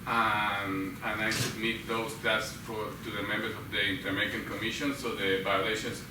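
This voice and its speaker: A man with a Guatemalan Spanish accent and a lowish voice answers interview questions casually. His speech is halting, with words repeated. He sounds far from the mic in a heavily echoing, noisy venue. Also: level tone. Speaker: interview guest, human rights lawyer. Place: live show venue with an audience of about fifty. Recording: roomy echo, distant mic, loud background noise.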